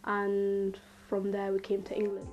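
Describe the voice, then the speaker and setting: Young woman telling her story in a flat voice. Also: mid-range voice, unhurried, soft background music. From Somalia. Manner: relaxed, rambling with many "like" fillers. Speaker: interviewee. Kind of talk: casual personal account. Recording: dull lo-fi recording.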